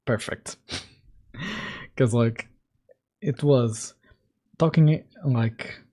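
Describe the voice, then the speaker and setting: A man hosting a game-dev livestream, hoarse and coughing while recovering, talking through his code casually with many fillers, close to the mic at night. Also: lively intonation, warm tone. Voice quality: husky, deeper than usual. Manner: slow, thinking aloud with fillers. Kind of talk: casual coding livestream chat. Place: home room, at night. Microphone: close mic right in front.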